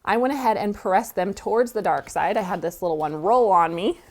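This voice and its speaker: A woman's voice: mid-range voice, lively intonation, a little fast. A woman from the hosting a quilting tutorial. She talks casually as she works and explains each step, and she sounds a little stuffy from a cold. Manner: chatty, walks through steps while working. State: has a cold, sniffling. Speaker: quilting show host. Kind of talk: friendly sewing tutorial. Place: sewing studio indoors.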